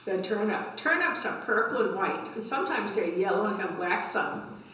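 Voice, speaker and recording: Bright older woman reading in an echoey room. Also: medium pitch, animated, normal speed. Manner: simple explanatory asides and questions to young listeners. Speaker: library story time host. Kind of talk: reading picture books aloud to children. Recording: echoey room, distant microphone.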